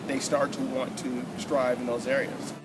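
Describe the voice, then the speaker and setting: A middle-aged man, a science coordinator, explaining his work in a steady, earnest way. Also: medium pitch, normal speed, low-quality recording. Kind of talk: interview talk. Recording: loud background noise.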